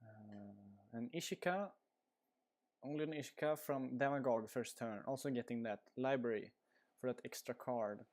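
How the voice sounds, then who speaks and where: A man with a Swedish accent casually commentates a card game stream over a headset. His voice sits at an ordinary middle pitch, and his delivery rambles with plenty of ums and uhs. Faint mouse clicks tick in the background. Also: moderate pace, a little mellow. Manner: casual, rambling, frequent uh fillers.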